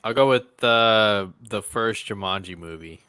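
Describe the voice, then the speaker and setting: Male chatter, unhurried pace, crisp bright tone, casual. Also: fairly low pitch, no echo, studio quality. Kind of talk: casual group chat.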